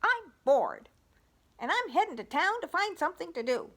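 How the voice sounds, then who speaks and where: A woman's voice: extremely high pitch, big pitch swings, normal speed, a bit bright, pitched above the performer's own voice, exaggerated voice. A woman voicing a bossy, bored little hen in a picture book read-aloud, squawking and insistent. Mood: excited.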